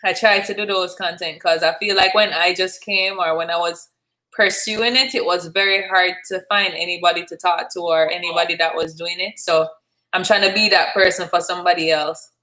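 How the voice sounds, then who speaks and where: A woman's voice: on the low side, steady tone, moderate pace, pushed and bright, poor audio. A woman hosting a call-in live stream, chatting casually and encouraging her caller. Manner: friendly, encouraging, chatty with laughs. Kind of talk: casual chat.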